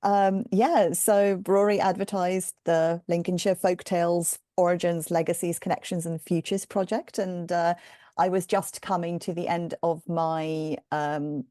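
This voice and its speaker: A woman's voice: fairly low pitch, flat delivery, measured pace, warm tone, no echo, clean studio sound. A young woman from London talking articulately as a podcast guest about her research. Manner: articulate, some fillers. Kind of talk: casual podcast chat.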